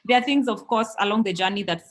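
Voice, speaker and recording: A woman's voice: medium pitch, fast talker, a little hiss or hum. A woman in her thirties from Kenya, a parent interviewed on a podcast, telling her story earnestly with frequent ums. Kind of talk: conversational storytelling.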